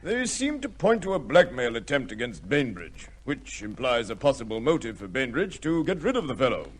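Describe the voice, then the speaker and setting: An actor playing a discreet major from a government office in an old radio drama, formal and official. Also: male voice, medium pitch, sing-song, moderate pace. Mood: matter-of-fact.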